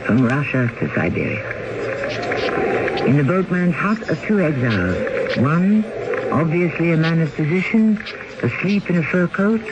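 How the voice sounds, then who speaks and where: A man narrating the opening of a radio drama in a measured, solemn way. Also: a little high, expressive, very noisy. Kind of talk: scripted narration. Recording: dull old radio broadcast with music.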